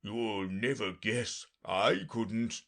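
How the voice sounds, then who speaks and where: A man voicing a dreamy, haughty, boastful unicorn from a storybook in a drawn-out, grand manner. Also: lowish voice, creaky, fairly bright tone, exaggerated voice. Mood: eager. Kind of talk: storybook character reading.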